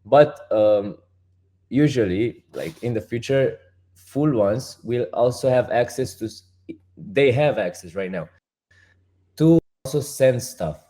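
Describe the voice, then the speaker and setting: A man with a Romanian accent, a project founder speaking as a guest and explaining step by step in halting, restarting sentences. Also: mid-range voice, lively intonation, dark tone. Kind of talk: casual interview talk.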